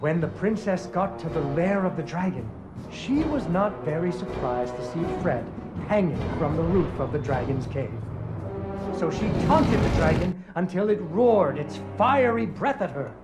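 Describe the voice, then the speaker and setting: A man's voice: on the high side, lively intonation, normal speed, natural voice, low-quality recording. A man playing a playful, doting father who narrates a fairy tale with wry expression in a video game. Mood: playful, dramatic. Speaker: storyteller. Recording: music under the voice. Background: prominent music.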